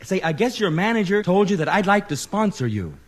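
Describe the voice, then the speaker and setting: High-voiced, daffy eccentric tycoon. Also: male voice, sing-song, brisk, very noisy. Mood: chuckling, eager. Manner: dotty, rambling, repeats himself. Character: eccentric, daffy wealthy businessman. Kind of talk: 1940s radio comedy acting.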